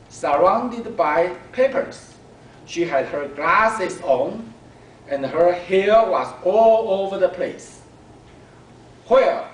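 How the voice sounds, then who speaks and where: A man reading a children's story aloud in a deliberate, expressive way, pausing between phrases. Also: on the high side. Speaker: storyteller at a speaking club. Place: club meeting room. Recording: echoey room, distant mic, background noise.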